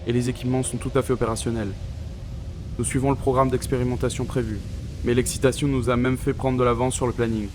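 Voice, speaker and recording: A man's voice: mid-range voice, level tone, quick pace, close to an everyday voice. A young man playing a composed astronaut on Mars, narrating a formal mission log for a sci-fi audio drama. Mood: composed, awed. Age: young adult. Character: male astronaut on Mars, awed yet composed. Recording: clearly noisy, outdoor vehicle-like ambience.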